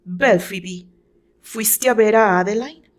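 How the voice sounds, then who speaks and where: A woman's voice: medium pitch, slow pace, close to an everyday voice. A woman voicing a serious, suspicious male musician in a dramatized story, stiff and probing. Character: serious, suspicious man, a musician questioning his lover.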